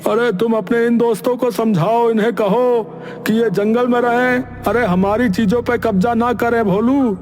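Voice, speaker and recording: A man's voice: very high-pitched, steady tone, normal speed, darkish tone. A man voicing a whiny, panicky cartoon villager who pleads and exclaims. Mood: complaining. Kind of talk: Hindi cartoon voice acting.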